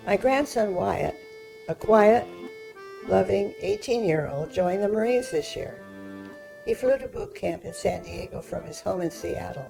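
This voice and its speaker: A woman in her eighties reading her own personal story aloud in a measured storytelling way. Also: medium pitch, gravelly, low-quality recording. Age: 80s.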